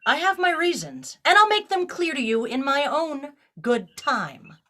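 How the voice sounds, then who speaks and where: An actress playing an authoritative, guarded female starship captain in an audio drama, giving crisp, imperious orders. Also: high-pitched, very animated, a little slow, pushed and bright, heavily acted. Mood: stern, defiant.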